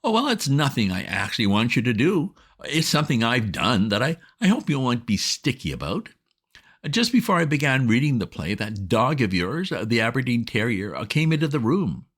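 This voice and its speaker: A man's voice: mid-range voice, very animated, moderate pace, fairly bright tone, pitched above the performer's own voice. A man voicing a breezy young woman who gushes and coaxes in an airy way in a storybook reading. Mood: wheedling. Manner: gushing, coaxing, airy.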